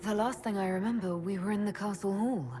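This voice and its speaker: An actress playing a firm, composed young leader in a video game, assured and blunt. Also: female voice, mid-range voice, fairly even intonation, brisk, background noise, natural voice. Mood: puzzled. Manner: assured, direct. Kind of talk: dramatic video game voice acting. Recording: long hall reverb, music underneath.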